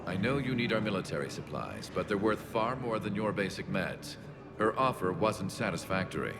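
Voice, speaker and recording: An actor playing a wary middle-aged military leader, speaking in a measured negotiating tone in a game cutscene. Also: male voice, lowish voice, lively intonation, vocal fry, music in the background. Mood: guarded. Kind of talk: video game cutscene acting.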